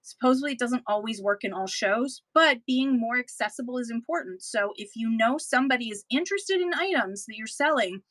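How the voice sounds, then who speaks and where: A Canadian woman talks her viewers through practical selling tips in a casual, chatty way, full of ums and restarts. Her voice is bright and slightly high. The sound is close and dry. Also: animated, a little fast, few pauses, dry recording. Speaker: livestream seller giving selling tips. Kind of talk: casual instructional talk to viewers.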